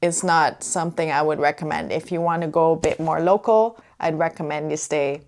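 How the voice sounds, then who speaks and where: A woman's voice: low-pitched, a little fast, darkish tone. A young woman from the Netherlands talking casually and easily to camera for a travel vlog, indoors by a window. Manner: chatty, easygoing, laughs often. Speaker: travel vlogger.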